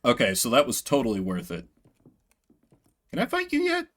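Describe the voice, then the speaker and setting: A man's voice: medium pitch, huge pitch swings, normal speed, fairly bright tone. A man doing live game commentary in a playful, sweary way, reading bits of text aloud and chatting with viewers. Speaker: game streamer. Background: video game music.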